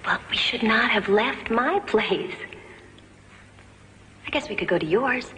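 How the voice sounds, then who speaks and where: A woman's voice: on the high side, expressive, a little slow, sharp tone. A woman playing an uneasy, uncomprehending, conventional young woman in an acted dramatic scene. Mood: baffled. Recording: long hollow reverb, faint noise.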